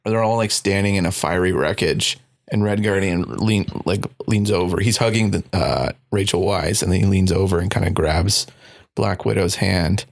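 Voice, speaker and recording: A man's voice: sits low, moderate pace, vocal fry, no echo, clean studio sound. A man co-hosting a casual movie podcast, joking and slipping into goofy voices. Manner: jokey, puts on goofy voices for characters. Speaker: podcast co-host.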